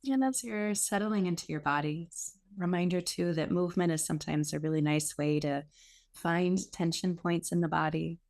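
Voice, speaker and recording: A woman teaching guided meditation in an unhurried, reassuring, gently instructive way with pauses. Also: lowish voice.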